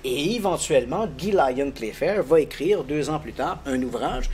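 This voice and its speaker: A man with a fairly high voice walks through a story in lively detail, laughing easily along the way. A faint noise sits behind him. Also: normal speed. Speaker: guest expert on the paranormal. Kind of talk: casual explanatory storytelling.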